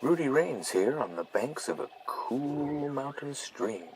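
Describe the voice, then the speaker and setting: A man playing a mellow, unhurried radio DJ over loud ambience. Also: mid-range voice, lively intonation. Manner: soothing, unhurried, hushed-morning delivery. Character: mellow male late-night ambient radio DJ. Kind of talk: calm scripted radio DJ patter. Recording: loud ambient noise behind the voice.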